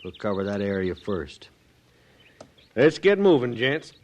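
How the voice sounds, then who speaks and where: A man's voice: fairly low pitch, very animated, unhurried, highs cut off, over music. An actor playing a self-assured, conciliatory American frontier lawman in an old western radio drama, with a folksy, persuasive manner. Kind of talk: 1950s western radio acting. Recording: noisy old radio recording.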